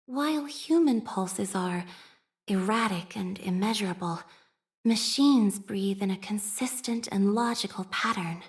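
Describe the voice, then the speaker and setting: A woman voicing a devout, shy young priestess in a video game, speaking formally and with measured, prayer-like phrasing and a machine-minded reserve. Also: medium pitch, close to an everyday voice. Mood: solemn, reverent. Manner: formal, measured, liturgical phrasing with hesitant shyness. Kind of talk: scripted game character voice lines.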